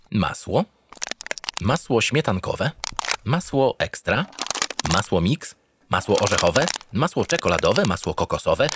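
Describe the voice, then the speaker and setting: A man's voice: mid-range voice, slight background noise. A man narrating a comic first-person audio drama in a theatrical, mock-pompous manner. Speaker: narrator in character as a starship captain. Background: music.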